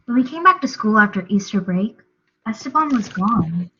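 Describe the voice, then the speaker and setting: A woman's voice: mid-range voice, animated, moderate pace, a bit bright, a little hiss or hum. Young woman narrating a novel, dramatic read, kinda lo-fi muffled audio. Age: young adult. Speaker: reader, drama student. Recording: dull lo-fi recording.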